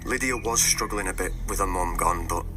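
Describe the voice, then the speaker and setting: A man's voice: deep voice, a little fast, music in the background, natural voice. An actor playing a middle-aged husband of a missing woman in a naturalistic radio drama, hesitant and evasive, with clipped denials. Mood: guarded, subdued.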